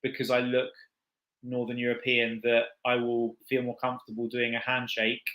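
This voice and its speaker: Bright-voiced middle-aged Norwich man. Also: mid-range voice, completely flat delivery, normal speed, rough recording. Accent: Norwich, England. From Norwich, England. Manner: chatty, laughs easily, hesitant fillers. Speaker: guest expert. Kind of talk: casual chat.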